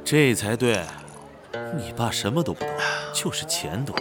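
An actor playing a chatty, nosy, worldly friend of a rich heir, casual and teasing in audio drama dialogue. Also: male voice, medium pitch, big pitch swings, moderate pace, over loud music, lightly acted. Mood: gossipy.

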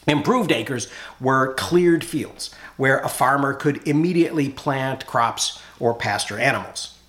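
Bright-voiced man narrating nonfiction. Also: medium pitch, unhurried. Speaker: author reading own audiobook. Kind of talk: scripted narration, nonfiction audiobook.

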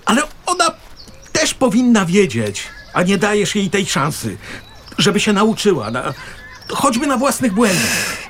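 A middle-aged husband in a naturalistic radio play, exasperated and reproachful. His voice sits a little high and swings expressively as he reasons and restarts. Faint noisy ambience sits behind him. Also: huge pitch swings, measured pace, a bit bright, full character voice. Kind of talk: naturalistic radio drama dialogue.

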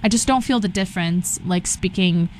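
A woman's voice: mid-range voice, normal speed, slight background noise. A young woman from Brazil with a Southern Californian accent, a guest chatting casually with lots of fillers and restarts. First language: Portuguese and Spanish. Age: young adult. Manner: rambling, many restarts and fillers. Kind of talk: casual interview chat.

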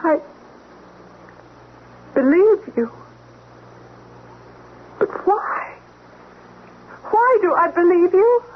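An actress playing an anxious, guarded female doctor in a radio drama, speaking in halting, tense, urgent phrases. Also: extremely high pitch, lively intonation, heavily acted. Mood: frightened, conflicted. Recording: muffled lo-fi radio, clear noise.

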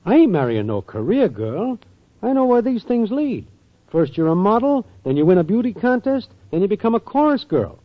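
A man's voice: sits high, very animated, moderate pace, dry recording. A man playing a scheming small-time hustler boyfriend with cocky, streetwise patter in a muffled 1940s radio sitcom. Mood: grumbling, coaxing. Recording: muffled old radio with faint noise.

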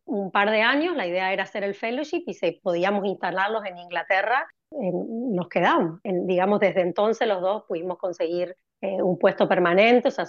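A middle-aged woman from Argentina with an Argentine accent, chatting as an interview guest and pausing often on fillers and restarts. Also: medium pitch, no echo, lo-fi sound. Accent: Argentine Spanish. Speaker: guest, cardiologist. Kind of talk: conversational interview answers.

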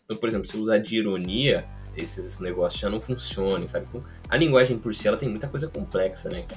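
A Brazilian man with a fairly low voice talks through ideas in a loose, easygoing podcast chat, tossing in fillers and small laughs. Soft music plays faintly underneath. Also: fast talker. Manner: relaxed, conversational, laughs lightly, many fillers. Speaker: podcast co-host.

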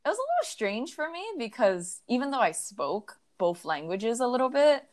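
A woman's voice: a little high, sing-song, moderate pace. A young adult woman in casual conversation, telling stories reflectively with many fillers. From United States.

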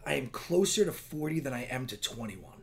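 A man of forty from Long Island talks quickly as a podcast guest, his voice gravelly with fry. His pitch moves a lot, and he sounds upbeat and laughs easily. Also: sing-song. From Long Island, New York. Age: 40s. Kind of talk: casual interview chat.

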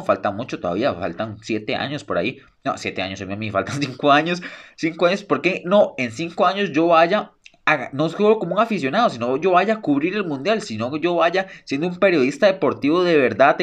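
A man's voice: medium pitch, a bit bright. A young man hosting a solo sports podcast, speaking eagerly and repeating phrases for emphasis. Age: young adult. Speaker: podcast host.

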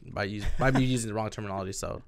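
Man, low voice, laid-back slangy podcast chat, quick to laugh. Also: a crowd in the background. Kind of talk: casual chat.

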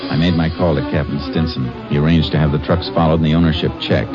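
An American actor playing a shrewd, steady Texas Ranger in a 1950s radio drama, clipped and matter-of-fact. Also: male voice, a bass voice, quick pace. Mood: narrating. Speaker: lead actor and first-person narrator. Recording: muffled old radio recording, music.

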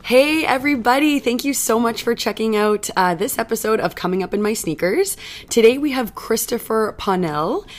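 A woman's voice: on the high side, animated, normal speed. A woman hosting a casual, chatty interview podcast.

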